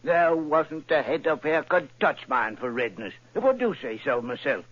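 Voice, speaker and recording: An earnest, homespun middle-aged shopkeeper in a vintage radio play. His voice is husky and breathy, and it swings up and down as he tells his tale in plain, rustic speech. The sound is dull and dry, like an old broadcast. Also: on the high side, expressive, normal speed, no echo. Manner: homely, earnest, rustic grammar. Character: plain-spoken middle-aged male pawnbroker client, earnest. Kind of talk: 1940s radio acting.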